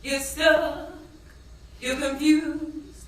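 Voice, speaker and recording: Stage drama: actress playing a grieving daughter, very high-pitched, pleading and drawn-out, captured from afar in a strongly echoing space. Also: female voice, level tone. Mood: anguished, pleading. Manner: emotive, pleading, drawn-out delivery. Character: grieving, despairing young woman pleading for her lost mother. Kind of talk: stage play monologue breaking into gospel-blues song. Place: stage in a theatre. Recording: echoey stage, distant mic, faint noise.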